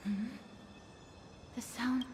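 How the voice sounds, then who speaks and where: A woman voicing an earnest, perceptive, level-headed game heroine who speaks in a measured, analytical way. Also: a little high, fairly even intonation, background noise. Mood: calm, curious. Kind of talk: video game story acting. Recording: echoey, music underneath. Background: game music.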